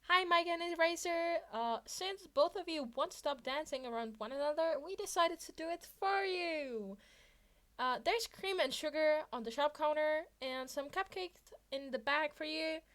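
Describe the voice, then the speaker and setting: Casting: female reader as teenage boy student hero, high voice, hesitant and apologetic yet brazenly cheeky, for an audio fanfic. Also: unhurried, fairly bright tone. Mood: cheerful, matter-of-fact. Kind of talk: fan fiction character reading.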